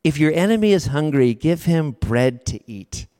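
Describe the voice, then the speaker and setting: A man's voice: fairly high pitch, animated, warm tone. Man preaching in a reverberant hall. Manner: earnest, builds repeated images with slow emphatic phrases. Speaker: pastor. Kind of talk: sermon.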